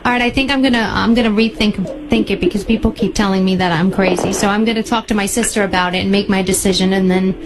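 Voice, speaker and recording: A woman's voice: mid-range voice, fairly even intonation, fast, hardly any pauses, music in the background, close to an everyday voice. A woman playing an earnest, hesitant, polite bereaved widow in a prank phone call. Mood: earnest, inquiring. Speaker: prank caller.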